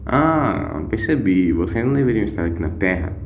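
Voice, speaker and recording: Grandfatherly adventurer, acted by a male narrator: low, principled, persuasive, questioning hums, echoing over music. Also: very animated, normal speed, gravelly, narrow, tinny audio, a little hiss or hum. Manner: emphatic, coaxing, with questioning hums. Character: wise male alien time-traveller, grandfatherly, moral, persuasive.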